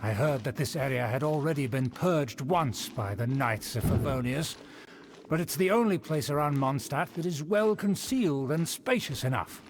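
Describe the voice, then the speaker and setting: Haughty, animated, high-pitched nobleman. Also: moderate pace, a little hiss or hum. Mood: haughty, self-satisfied. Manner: pompous, affected, condescending. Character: haughty scheming nobleman, pompous and easily offended. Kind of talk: video game voice acting.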